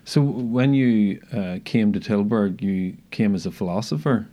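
A man interviewing a guest, asking halting, searching questions. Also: on the low side, dark tone.

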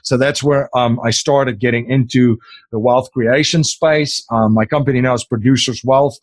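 A man's voice: mid-range voice, fairly even intonation, normal speed, dry recording. A middle-aged man, an Afrikaans speaker, talking casually in an interview, rambling with many fillers and restarts. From South Africa. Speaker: podcast host as interview guest.

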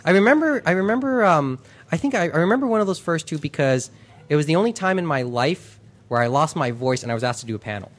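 A man's voice: a little high, animated, quick pace, fairly bright tone. A man interviewing a convention organizer in casual chat, hesitant with many restarts, his voice worn and fading. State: losing his voice.

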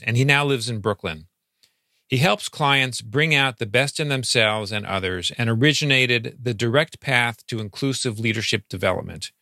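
A man hosting a podcast, reading a clear, measured intro and asking questions. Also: mid-range voice, sharp tone, dry recording, clean studio sound. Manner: clear and measured, reads promos. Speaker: podcast host. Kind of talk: scripted intro and interview questions.

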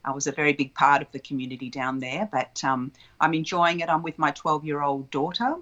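A woman's voice: deep voice, flat delivery, fairly quick, a bit bright, dull audio. A woman in her fifties, a childbirth educator, telling her story in a flowing, reflective way in an interview. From Australia. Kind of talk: relaxed interview conversation.

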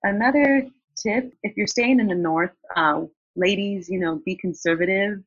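A woman's voice: mid-range voice, normal speed, breathy edge, no echo. Guest, woman, easygoing talker, dry lo-fi sound. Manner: chatty, relaxed, many fillers and restarts. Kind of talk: casual interview answers. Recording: dull lo-fi sound.

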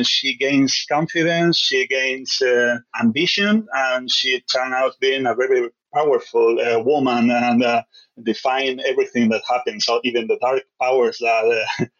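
A man's voice: mid-range voice, fairly bright tone, dull audio. A man from Spain with a Spanish accent, a guest author answering interview questions over a remote call, hesitant and full of fillers as he searches for English words.

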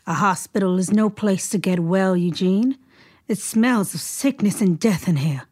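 A woman's voice: mid-range voice, unhurried, darkish tone, natural voice. An actress playing a refined, insistent woman in a period radio drama, speaking formally and pointedly. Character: insistent, refined woman visiting a hospitalised man.

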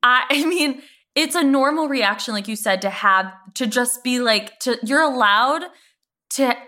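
Bright-voiced woman chatting casually. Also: a little high, measured pace. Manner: informal, peppered with "like" and "you know". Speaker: podcast co-host. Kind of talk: casual TV recap discussion.

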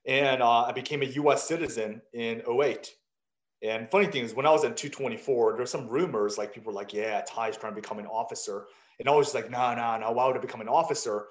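A man in his thirties, who grew up in South Africa, tells his life story to old service buddies. He speaks at an ordinary mid pitch and stays candid and self-mocking, acting out bits of dialogue. The room has a noticeable echo. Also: quick pace. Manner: candid, self-deprecating, lively anecdotes with quoted dialogue. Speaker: guest, startup founder. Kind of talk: casual conversational storytelling. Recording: roomy echo.

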